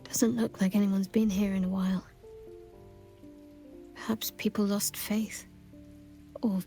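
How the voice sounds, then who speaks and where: An actress playing a grieving, willful young woman in a video game, speaking in a measured, hushed, halting and reflective way. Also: mid-range voice, vocal fry. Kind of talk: cinematic video game voice acting.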